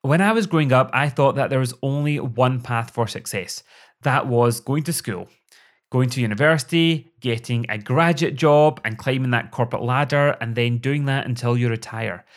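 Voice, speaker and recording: A man's voice: mid-range voice, normal speed. A middle-aged Scottish man hosting a podcast, speaking as a performance coach in an upbeat, earnest, motivational monologue.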